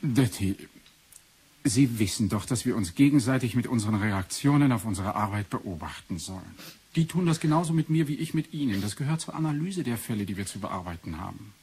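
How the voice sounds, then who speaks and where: An actor playing an analytical, brooding research doctor in a German 1980s science fiction radio play, speaking in a measured, formal way. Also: male voice, lowish voice, lively intonation, darkish tone, breathy edge, heavily acted. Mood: intent, explaining. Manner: measured, formal, clipped dictation.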